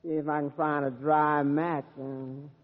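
A man's voice: fairly high pitch, flat delivery, measured pace, natural voice. A man with a Southern American accent playing a hapless, complaining man swallowed by a whale, in folksy, stammering 1940s radio comedy style. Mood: sheepish, grumbling. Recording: tinny, echoing, noisy old radio recording.

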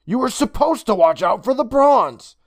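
A reader voicing a bold, bossy young girl who gives brisk, exclamatory orders. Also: mid-range voice, sing-song, no echo, clean studio sound, voice pushed up.

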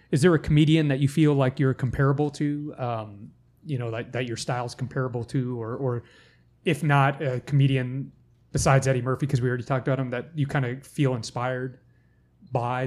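A man's voice: medium pitch, steady tone, normal speed, darkish tone. A man hosting a casual podcast interview, asking long rambling questions with joking asides and frequent restarts. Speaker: podcast host.

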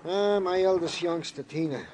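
An actor playing a fretful middle-aged police sergeant in 1950s radio drama, comically pompous with flowery, mangled phrasing. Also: male voice, sits high, moderate pace, darkish tone, close to an everyday voice. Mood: aggrieved, worried. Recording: noisy old radio recording.

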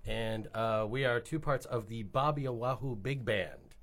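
A man's voice: mid-range voice, measured pace, fairly bright tone. A man guest introducing himself as a performer, matter-of-fact. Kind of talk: casual chat.

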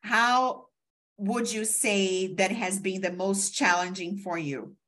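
A woman hosts a podcast interview. Her pitch sits mid-range, and she talks in an effusive, chatty flow with lots of "you know". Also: steady tone, slow pace, a bit bright. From Brazil. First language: Portuguese. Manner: effusive, chatty, many fillers. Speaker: podcast host. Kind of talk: casual interview.

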